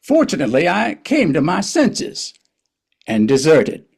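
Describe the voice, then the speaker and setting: A man's voice: fairly high pitch, wildly swooping pitch, a little slow, gravelly, breathy edge, heavily acted. A man playing a wry, reflective older male writer and narrator in a table reading of a historical play, with a hesitant storytelling manner. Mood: wry, flustered.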